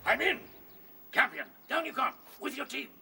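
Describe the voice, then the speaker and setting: A man's voice: very high voice, big pitch swings, normal speed, gravelly, heavy background noise, exaggerated voice. A man playing a ruthless, domineering rabbit general in a radio drama, brusque and commanding.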